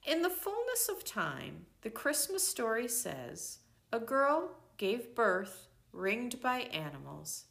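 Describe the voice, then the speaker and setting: Slow, measured older woman reading aloud. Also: fairly high pitch, wildly swooping pitch, gravelly. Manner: gentle, measured, pauses between phrases. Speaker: reader of a devotional book. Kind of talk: slow reflective book reading.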